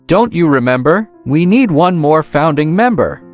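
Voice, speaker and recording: An actor playing a loyal, earnest young male sidekick, eager and expressive, in an anime-style dub. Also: fairly high pitch, moderate pace, soft background music, natural voice. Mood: admiring.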